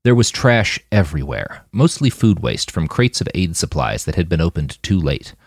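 Male fiction narrator, very low voice, highly animated delivery, dry recording. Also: normal speed, creaky, breathy edge, no room sound. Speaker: audiobook narrator.

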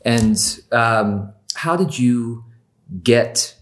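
A man hosting a podcast interview, speaking in a relaxed way and pausing with ums and restarts as he frames his questions. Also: medium pitch. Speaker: podcast host. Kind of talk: casual interview.

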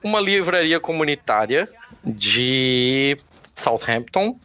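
A man from Brazil co-hosting a casual podcast, telling a news story in a joking, relaxed way. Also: medium pitch, slow. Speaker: podcast co-host. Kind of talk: casual chat.

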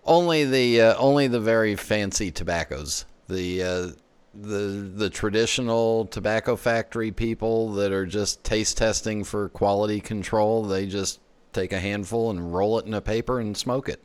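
Male host, somewhat low, clear voice, easygoing interviewer. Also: normal speed. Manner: relaxed, laughs along with the guest. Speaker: podcast host. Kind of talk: casual interview chat.

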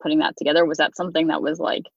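A woman's voice: low-pitched, level tone, very fast, vocal fry, slightly rough, dry recording. A woman CEO, a guest on a podcast, talking casually and chattily with lots of "like" fillers. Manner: chatty, many "like" fillers, laughs easily. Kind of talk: casual conversational interview. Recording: dry, dull lo-fi sound.

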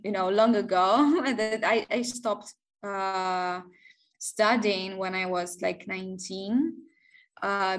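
Slow, hesitant Italian woman. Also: medium pitch. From southern Italy. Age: 30s. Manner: hesitant, many pauses and fillers, light laughs. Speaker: guest. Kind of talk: casual interview chat.

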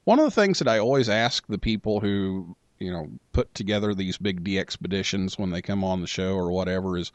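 A man hosting a radio talk show, chatting casually with a guest and pausing often on filler sounds. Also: deep voice, animated, dry recording. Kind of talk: casual interview chat.

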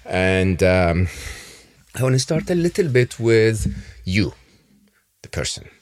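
Low-voiced man hosting a podcast. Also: sing-song, slow, a little mellow. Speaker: podcast host. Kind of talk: interview podcast.

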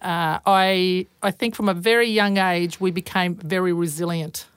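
A middle-aged woman speaks thoughtfully as an interview guest, her voice sitting a little low. She talks earnestly with easy fillers, and the sound is dry and close. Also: fairly even intonation, moderate pace, smooth, no echo. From Australia. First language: Croatian. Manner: thoughtful, earnest, frequent fillers and soft laughs. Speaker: interview guest, police officer. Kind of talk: reflective interview conversation.

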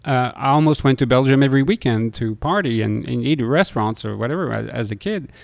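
A middle-aged man from France with a French accent, telling stories in an interview in a halting way, often hesitating and repeating words. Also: mid-range voice, gravelly. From Lille, France. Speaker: restaurateur being interviewed. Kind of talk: conversational interview storytelling.

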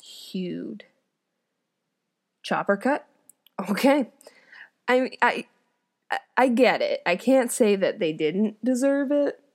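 A woman's voice: on the high side, expressive, slow, pauses often, darkish tone. A woman, an actor and comedian, reading an old fairy tale aloud and breaking off into wry, joking comments. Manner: wry, amused, chatty commentary. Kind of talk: storytelling reading with joking asides.

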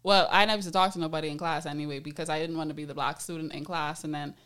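A woman with a Bahamian accent hosting a podcast, chatting casually and telling stories candidly in slangy, animated phrasing. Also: sits low, rapid-fire. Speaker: podcast host.

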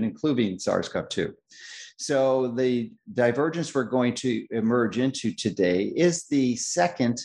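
A middle-aged man hosting a health interview, speaking emphatically and confidently, with restarts and slowed stressed phrases. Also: mid-range voice, a little mellow. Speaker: host. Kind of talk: interview host, long introduction.

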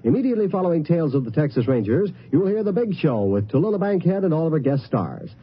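A man's voice: fairly high pitch, sing-song, fast talker, husky. A man with an American accent reading a scripted promo as a 1950s radio network announcer. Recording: muffled old radio recording.

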